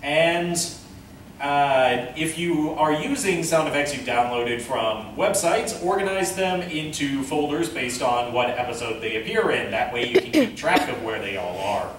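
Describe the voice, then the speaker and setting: A man's voice: mid-range voice, animated, a little slow. A man presenting an instructional panel in a casual, step-by-step explanatory way. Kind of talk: instructional convention panel talk. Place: indoor convention panel room, recorded from a distance. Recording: very echoey room, distant mic, faint noise.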